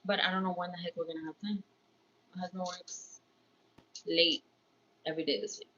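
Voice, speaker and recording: Bright, chatty New woman. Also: lowish voice, flat delivery, brisk, husky. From born in Ecuador, raised in New York. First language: Spanish. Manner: chatty, rambling, slips into Spanish phrases. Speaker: livestream host, crafts vlogger. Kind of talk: casual livestream chat. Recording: slightly dull, lo-fi stream audio.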